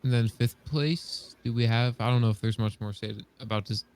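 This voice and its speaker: Late-teen male co-host, average pitch, loose slangy chat. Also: steady tone, normal speed, darkish tone, clear, resonant tone. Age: teens, about 17. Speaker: podcast co-host. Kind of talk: casual slangy banter.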